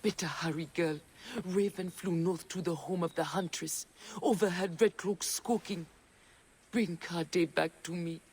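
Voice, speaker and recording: An actress playing an old, half-blind peasant woman who tells of a massacre in slow, cryptic, raspy speech. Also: on the low side, steady tone, a little mellow, a little hiss or hum, close to an everyday voice. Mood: ominous. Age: older. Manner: slow, eerie storytelling in bird images.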